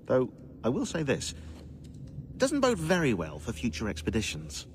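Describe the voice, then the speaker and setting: An actor playing a dry, fussy, knowing researcher in a game, articulate and wry. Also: male voice, mid-range voice, huge pitch swings, moderate pace, a little hiss or hum. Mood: wry, explanatory. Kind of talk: video game cutscene acting.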